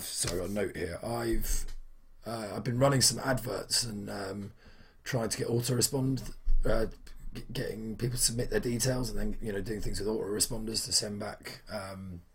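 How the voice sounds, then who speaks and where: A young man with a husky, hoarse voice, chatting casually in a weekly trading vlog, rambling with many fillers and restarts. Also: medium pitch. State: hoarse from shouting at football. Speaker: trading vlogger.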